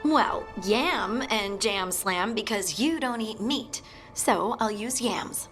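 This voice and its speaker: A woman's voice: medium pitch, expressive, slow, fairly bright tone. A young outdoorsy scout girl in a game, plain talking, teasing, bouncy intonation, bit of music behind. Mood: practical, friendly. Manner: plain-spoken, informal. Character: practical, outspoken young outdoorswoman scout, friendly, teasingly exasperated. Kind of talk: video game cutscene acting.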